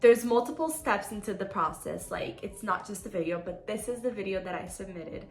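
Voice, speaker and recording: A woman's voice: mid-range voice, lively intonation, fairly quick, reverberant, faint music behind. A young woman from Brazil, Portuguese her first language, chatting casually to camera and telling a story with lots of "like". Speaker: vlogger.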